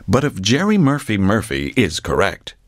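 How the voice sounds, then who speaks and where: An actor playing a solemn, portentous narrator of a mock documentary, speaking in measured scripted phrases. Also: male voice, fairly low pitch, wildly swooping pitch, dry recording, studio quality.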